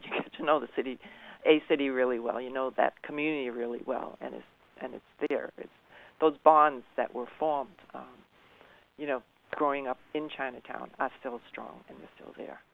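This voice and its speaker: A woman's voice: a bass voice, steady tone, fairly quick, gravelly. An older woman from Boston giving conversational, anecdotal answers as an interview guest. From Boston, United States. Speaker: interview guest, local historical society member. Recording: thin, phone-like line.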